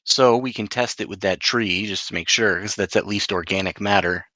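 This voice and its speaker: A man's voice: on the low side, moderate pace, sharp tone, no echo. A man playing a tabletop role-playing game, chatting casually and inquisitively in informal language with some mild swearing.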